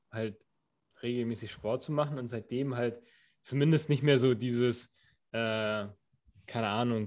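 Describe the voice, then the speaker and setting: A man's voice: mid-range voice, a little mellow. A young man co-hosting a podcast, talking in a loose, rambling way with many fillers and restarts. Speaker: podcast co-host. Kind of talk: casual chat.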